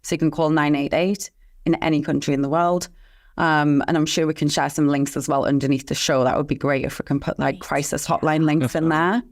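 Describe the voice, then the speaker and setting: A woman's voice: low-pitched, fairly even intonation, a little fast. A woman speaking as a guest expert in an interview, earnest and passionate, telling a personal story with conviction. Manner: earnest, passionate, talks of mission and calling. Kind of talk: candid interview talk.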